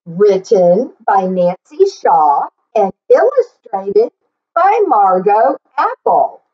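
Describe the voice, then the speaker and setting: A middle-aged woman reading a picture book aloud for children, expressively and deliberately, drawing out words and adding simple explanations. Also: medium pitch, very animated, husky, muffled recording. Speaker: children's story reader and teacher.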